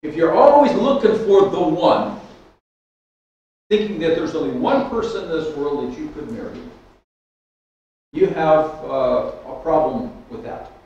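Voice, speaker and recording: A man's voice: a little high, measured pace, long pauses, recorded from a distance, dull audio. An older man preaching a sermon from a lectern, telling a personal story with many restarts. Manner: storytelling, animated with hand gestures, frequent restarts. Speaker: pastor. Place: church hall with stage and keyboard. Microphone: stand mic at lectern, far camera. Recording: echoey hall, speaker off mic.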